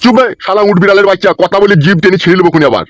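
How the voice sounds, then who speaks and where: A man's voice: high-pitched, racing, no echo, close to an everyday voice. A man voicing a hot-tempered middle-aged village father in a comic cartoon, scolding and cursing in an abusive harangue. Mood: furious scolding. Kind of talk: comic Bengali cartoon dub.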